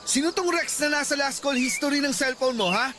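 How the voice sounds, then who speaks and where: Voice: sits high, fairly quick, very noisy, natural voice. An actor playing a hurt, insistent live-in boyfriend in a radio drama, speaking earnestly. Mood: suspicious, hurt. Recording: music under the voice.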